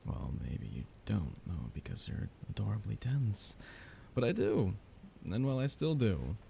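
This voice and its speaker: A man playing a soft-hearted, nervous young mafia heir in an intimate ASMR romance roleplay, speaking softly, haltingly and apologetically. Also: lowish voice, wildly swooping pitch, vocal fry, slight background noise, heavily acted. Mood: shy, confessing.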